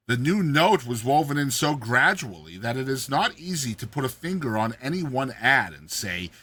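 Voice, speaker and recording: A man giving a theatrical, expressive dramatized reading of a satirical short story as its narrator. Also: mid-range voice, breathy edge. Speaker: story narrator, show host.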